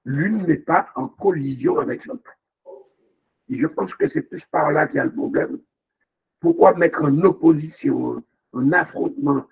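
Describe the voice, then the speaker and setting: Male jury member on a tinny call line; gravelly, very raspy, emphatic. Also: on the high side. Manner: emphatic, rhetorical questions. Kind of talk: academic remarks at a thesis defense.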